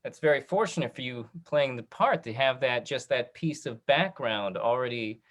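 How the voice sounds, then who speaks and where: A man's voice: medium pitch, animated, moderate pace, fairly bright tone. A man hosting a casual interview on a video call, affirming and summing up what he hears. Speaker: host of a talk back discussion.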